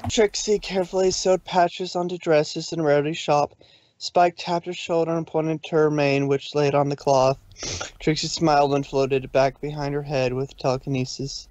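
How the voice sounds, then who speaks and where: A man's voice: on the high side, little pitch movement, moderate pace, a little mellow, highs cut off, a little hiss or hum. A man narrating a fan fiction story from a script.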